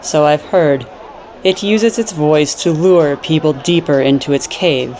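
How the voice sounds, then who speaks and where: A woman playing an older half-elf nun, giving measured, earnest warnings in a fantasy audio drama. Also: low-pitched, a little mellow, some chatter behind. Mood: grave warning. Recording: music behind the voice.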